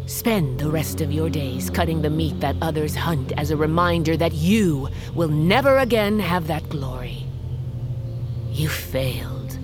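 An actress playing a stern, burdened queen of a wolf tribe in an audio drama, speaking in a grave, measured, formal way. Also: low voice, expressive, over music. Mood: cold anger. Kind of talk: audio fiction drama acting.

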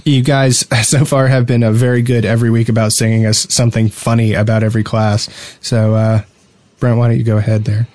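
A man's voice: mid-range voice, level tone, a little fast, darkish tone, no room sound. American guy on a gaming podcast, chatty and jokey, normal mid voice. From New Jersey, United States. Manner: joking, chatty. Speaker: podcast co-host. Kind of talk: casual gaming podcast chat.

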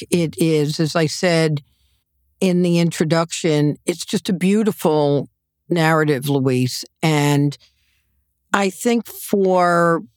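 Unhurried woman podcast host. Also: lowish voice, no room sound, studio quality. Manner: supportive, finishes the guest's sentences. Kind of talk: interview conversation.

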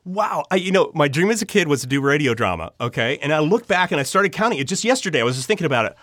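A man telling personal stories as an interview guest in an animated, conversational way, acting out his thoughts. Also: fairly high pitch, rapid-fire, no room sound, clean studio sound. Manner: animated, acts out inner thoughts and dialogue. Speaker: interview guest, audio drama writer.

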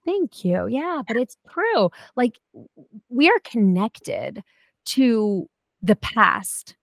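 A woman in her forties, guest on a chat show, telling a lively story with many restarts and asides. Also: on the high side, takes it slowly, vocal fry, no room sound. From United States. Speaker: guest, food historian and cooking teacher. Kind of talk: casual interview chat.

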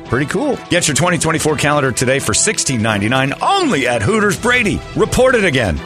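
A man's voice: fairly high pitch, sing-song, quick pace, over music. A man hosting a radio show with sarcastic, casual comedic banter.

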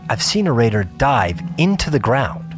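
A man playing a seasoned, weary gamer who narrates a survival adventure as a scripted first-person audio drama, in a measured, intense way. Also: mid-range voice, very animated, over music, natural voice. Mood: grave, suspenseful. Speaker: narrator and lead character.

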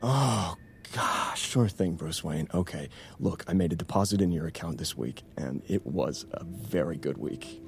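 Cheeky assistant dude, real low croaky voice, laid-back and sarcastic, audio drama. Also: male voice, expressive, measured pace, heavily acted. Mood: teasing. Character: cheeky, teasing young male assistant. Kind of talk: naturalistic audio drama acting.

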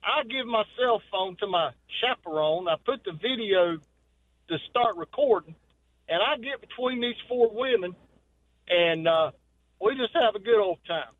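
Man, middle-aged, folksy storyteller, high-pitched, tinny phone line. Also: expressive, a little slow, no room sound. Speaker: caller. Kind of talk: telling a story on a phone call.

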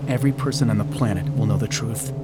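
A man's voice: on the low side, fast talker, over loud music, natural voice. A man acting in an audio drama as an obsessive, insistent conspiracy theorist. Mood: urgent, insistent. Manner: earnest, insistent explaining. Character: obsessive male conspiracy theorist, earnest, defensive, urgent.

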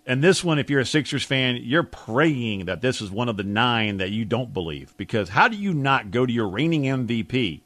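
A man's voice: mid-range voice, lively intonation, moderate pace, hardly any pauses, a bit bright, no room sound. An American man co-hosting sports talk radio, blunt, emphatic and colloquial as he gives his opinions in casual chat. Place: radio studio. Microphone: close studio mic.

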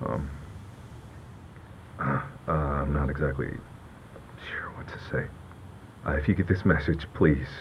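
An actor playing a shaken man in an audio drama about a deserted town, speaking in a halting, low-key way. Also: highs cut off, a little hiss or hum. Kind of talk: audio fiction acting, naturalistic.

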